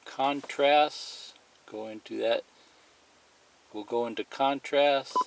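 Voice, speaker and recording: A man's voice: medium pitch, steady tone, slow, creaky, rough recording. A man giving a plain, methodical, unscripted step-by-step demonstration of a product as a tutorial presenter.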